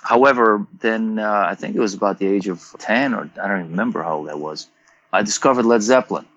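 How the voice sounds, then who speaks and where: A man's voice: on the low side, quick pace, muffled recording. A man from Croatia, a rock singer in his fifties, telling stories from his youth in a casual interview and acting out what people said. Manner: animated storyteller, swearing, acting out quotes.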